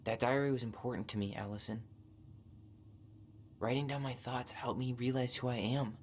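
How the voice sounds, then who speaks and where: A man acting in a video game as a guarded, wry young American man, with understated, dry delivery and a gravelly, hushed voice. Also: mid-range voice, fairly even intonation, normal speed, lightly acted. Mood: serious, determined. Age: young adult. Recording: faint noise, streamed game audio.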